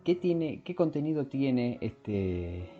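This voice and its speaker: A man hosting a podcast, explaining things informally and often pausing to search for his words. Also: mid-range voice, very animated, muffled recording, faint music behind. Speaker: podcast host. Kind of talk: scripted intro and informal explanatory talk.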